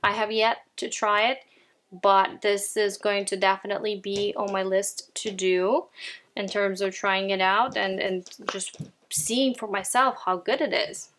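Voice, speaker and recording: This is a woman with a Ukrainian accent, talking through her makeup in a relaxed, chatty way. Her pitch sits in a middle range, and she often speaks straight to her viewers. She is recording indoors at home. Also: unhurried, slight background noise. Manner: chatty, often addresses viewers as "you guys". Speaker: beauty vlogger. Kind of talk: casual makeup declutter chat.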